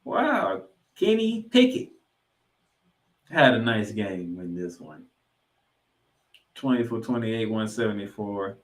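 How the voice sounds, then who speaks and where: A man's voice: lowish voice, sing-song, slow pace, long pauses. A man hosting a sports podcast, giving recap commentary with stats and emphatic delivery of names and numbers. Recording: roomy, echoing room sound.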